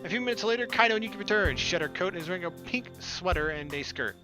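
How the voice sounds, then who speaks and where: A man's voice: sits high, animated, quick pace. A young man reading a visual novel aloud as a let's player, with casual, irreverent asides. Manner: playful, irreverent. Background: music.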